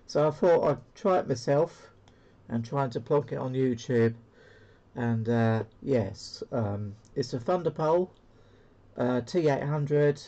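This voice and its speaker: A man's voice: mid-range voice, warm tone. Hesitant man, slightly dull sound. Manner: hesitant, rambling. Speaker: CB radio hobbyist presenting a demo. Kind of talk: casual unscripted product demo. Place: indoor radio room.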